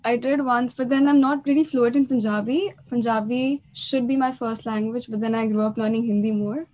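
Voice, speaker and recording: A woman's voice: on the high side, steady tone, a little fast, a little mellow, dull audio. A young woman from India, a student, speaking modestly on a video call.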